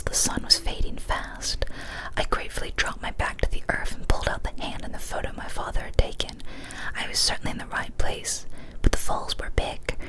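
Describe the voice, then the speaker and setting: Young woman, whispered ASMR storytelling, breathy, hushed, deliberate short phrases, close studio mic. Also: low-quality recording. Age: young adult. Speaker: ASMR storyteller, channel host. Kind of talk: whispered ASMR reading of a first-person horror story. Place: small indoor room, decorated home studio. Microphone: large studio microphone, very close.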